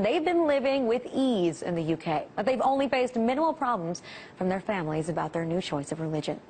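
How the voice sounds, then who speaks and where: A woman presents a scripted news segment in a mid-range voice. She speaks as a show's presenter, with faint noise and a low rumble underneath. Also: a little mellow.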